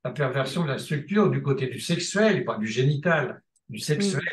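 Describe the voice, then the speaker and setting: A man's voice: medium pitch, animated, normal speed, slight background noise. Hesitant male lecturer in an echoey room. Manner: hesitant, many restarts and filler sounds, emphatic repetition. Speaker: seminar lecturer in psychoanalysis. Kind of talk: spontaneous seminar teaching.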